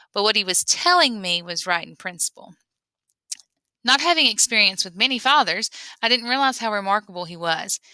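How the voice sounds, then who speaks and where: Bright-voiced woman reading aloud. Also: mid-range voice, no room sound, poor audio. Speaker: reader. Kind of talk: expressive read-aloud of a memoir.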